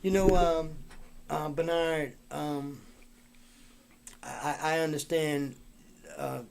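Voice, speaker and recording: A man's voice: on the high side, steady tone, slow, vocal fry, a little mellow, clean tone. A man hosting a live talk show, speaking casually with many fillers and restarts. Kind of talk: casual live-stream interview.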